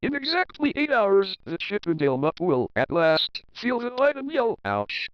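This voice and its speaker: A man's voice: sits high, huge pitch swings, moderate pace, muffled recording. A man narrating a children's picture book as a readalong, reading rhyming verse expressively and rhythmically. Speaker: storybook narrator.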